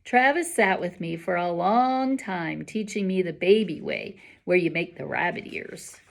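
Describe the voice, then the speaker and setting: A woman reading a children's novel aloud like a teacher, expressive and emphatic with clear enunciation. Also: on the low side, unhurried. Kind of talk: expressive storybook read-aloud.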